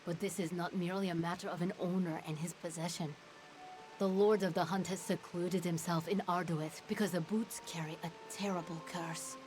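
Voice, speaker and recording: A woman voicing a solemn, mournful fey herald in a fantasy game, speaking in a grave, measured, formal way. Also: on the low side, fairly even intonation, darkish tone, soft background music, lightly acted. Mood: grave, warning.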